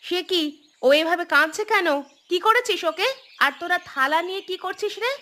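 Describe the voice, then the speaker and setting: A stern village mother, played by an actress in an audio story. Her voice is high and sharp. She nags and scolds with a sarcastic edge. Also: normal speed. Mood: suspicious. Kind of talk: acted audio story dialogue.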